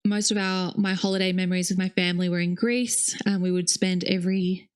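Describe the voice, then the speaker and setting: A woman's voice: fairly low pitch, fairly even intonation, fairly quick, clear, resonant tone. A woman co-hosting a podcast, chatting casually and laughing often. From Australia. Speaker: podcast co-host. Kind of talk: casual chat.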